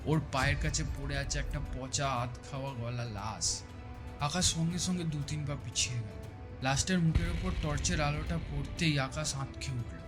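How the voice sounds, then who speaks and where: Male horror-story narrator, slightly high register, scripted storytelling over a music bed. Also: normal speed.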